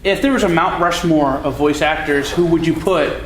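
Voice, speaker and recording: A man in the audience asks the panel a wandering, hesitant question. His voice sits a bit high for a man. A roomy echo and a faint hiss hang around him. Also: moderate pace. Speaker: audience member asking questions. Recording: echoey room, faint noise.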